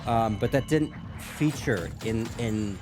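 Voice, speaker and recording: A man's voice: fairly low pitch, lively intonation, slow, over music. Casual, musing man hosting a gaming reaction. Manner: musing, hedging, thinking aloud.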